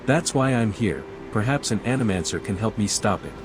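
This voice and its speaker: A man's voice: fairly low pitch, lively intonation, a little fast, background noise, lightly acted. An actor voicing a courteous, composed male scholar envoy in a video game. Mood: calm, reasoning. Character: smooth-talking, reasonable male envoy, godlike scholar adventurer.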